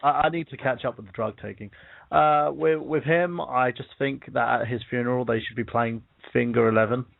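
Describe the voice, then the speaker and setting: A man in his thirties with a London accent, a guest on a comedy talk show, telling dark jokes in a deadpan way. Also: medium pitch, a little fast, vocal fry, no room sound. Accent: London English. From England. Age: 30s. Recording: tinny phone-like dry sound.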